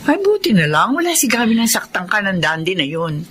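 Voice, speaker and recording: A Filipino woman playing a concerned, frank, excitable friend in a radio drama. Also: medium pitch, brisk, slightly rough. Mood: frank, advising.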